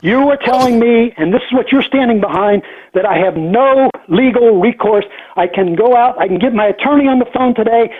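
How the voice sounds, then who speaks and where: A man's voice: extremely high pitch, a little fast. A man arguing over the phone in an indignant, insistent, repetitive and legalistic manner. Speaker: prank call recipient, homeowner. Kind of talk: phone argument. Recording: muffled narrowband phone line.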